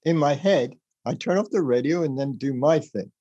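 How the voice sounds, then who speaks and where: A man hosting a podcast about the music business, rambling and animated as he gives advice, with a rough voice. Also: a little high, moderate pace, highs cut off. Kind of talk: casual advice chat.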